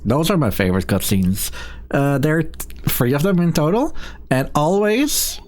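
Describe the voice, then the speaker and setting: A man's voice: fairly high pitch, big pitch swings, normal speed, a little mellow, faint music behind. A man giving casual, joking commentary as he streams a video game. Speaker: streamer, speedrunner.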